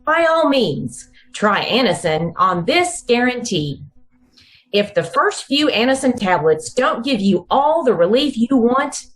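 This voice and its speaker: Animated woman radio commercial announcer. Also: on the high side. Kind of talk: scripted 1950s radio advertisement read.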